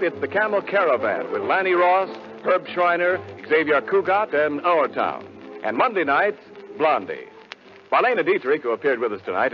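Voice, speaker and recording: An American man announcing on 1940s radio, reading scripted copy with a polished, emphatic salesman's delivery. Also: a little high. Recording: muffled 1940s radio broadcast.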